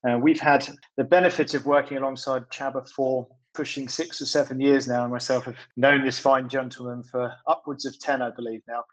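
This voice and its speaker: A man with a medium-pitched voice hosts a podcast interview. His delivery is conversational and wordy, often circling and repeating words as he builds toward a point. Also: flat delivery, fairly quick, few pauses, rough recording. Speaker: podcast host.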